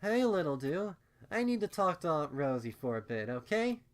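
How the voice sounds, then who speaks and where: A warm pegasus mother pony voiced by a man in a storybook reading. The voice is lifted higher and lilts with a cooing, soothing, motherly manner. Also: big pitch swings, normal speed. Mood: gentle. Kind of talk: storybook character voice.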